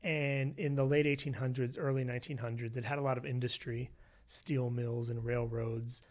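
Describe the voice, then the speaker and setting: A man from Western Pennsylvania, a researcher being interviewed, telling his family story in a relaxed way with many "you know"s. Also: medium pitch, moderate pace. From Western Pennsylvania, USA. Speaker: guest, researcher. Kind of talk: conversational interview.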